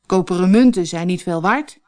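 A woman's voice: on the low side, moderate pace, darkish tone, close to an everyday voice. A woman voicing a bold, greedy and curious male soldier in a fairy tale. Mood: bold, eager. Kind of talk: storybook character voice.

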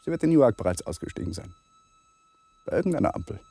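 Husky, feverish man thinking aloud. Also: fairly high pitch, wildly swooping pitch, fast, dark tone, dry recording, exaggerated voice. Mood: agitated, anxious. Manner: fragmented, brooding, drifting into whispers. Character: anxious young male lawyer in feverish inner monologue. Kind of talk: radio play inner monologue.

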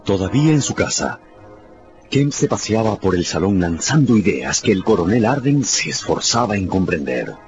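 Deep-voiced male radio drama narrator. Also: very animated, normal speed, darkish tone. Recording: dull sound with music underneath. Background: music.

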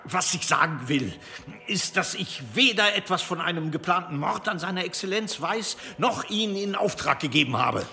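Forceful, indignant accused clergyman. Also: high voice, expressive, normal speed, gravelly, exaggerated voice. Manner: emphatic, sardonic. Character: proud, indignant high clergyman defending himself on trial. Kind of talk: historical radio drama acting. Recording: long echoing hall reverb.

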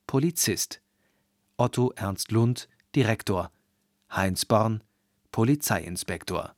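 A man reading formal scripted credits as a radio announcer, measured and precise. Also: medium pitch, no room sound, clean studio sound.

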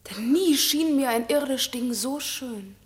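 A tender, wonderstruck young maiden speaks in a high voice with slow, earnest delivery. The style is innocent, declamatory classic radio drama. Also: female voice, lively intonation.

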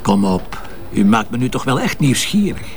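Stubborn Flemish detective, gravelly, sardonic, lively intonation, radio drama, noisy background. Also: male voice, medium pitch, moderate pace. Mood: dryly probing. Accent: Flemish Belgian. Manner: probing, sardonic, colloquial. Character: stubborn, teasing male Flemish police inspector trusting his intuition. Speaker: actor in a detective audio drama. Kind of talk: naturalistic radio drama dialogue.

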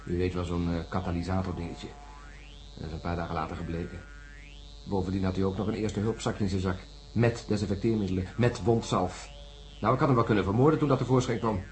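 A man's voice: low voice, steady tone, fast, soft background music, close to an everyday voice. A man playing a sarcastic, grumbling astronaut stranded in the jungle in a Dutch science-fiction radio drama. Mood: agitated recounting. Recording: noisy radio play with insect ambience.

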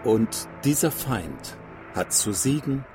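Grave thinker, solemn radio drama recitation, slow deliberate delivery, music behind. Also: male voice, mid-range voice, lively intonation. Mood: grave warning. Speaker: actor reading quotations.

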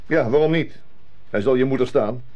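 An actor playing an old-fashioned gentleman suitor in a 1970s radio drama, courtly and condescending. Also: medium pitch, moderate pace, muffled recording, lightly acted. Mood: charming, doting. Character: suave, patronizing, old-fashioned gentleman suitor, stern stepfather-to-be.

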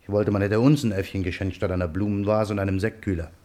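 A stubborn artist husband in a German radio play, acted by a man. His voice sits a little low. He is defensive and irritable with people, tender with his beloved pet. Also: steady tone, fast, warm tone, lightly acted.

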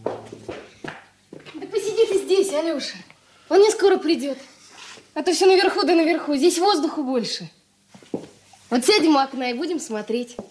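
A woman's voice: high voice, moderate pace, pauses often, darkish tone, slight background noise, natural voice. An actress playing an affectionate, naive young girl, a caring sister, in a Soviet radio drama, speaking simply and eagerly. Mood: gentle coaxing.

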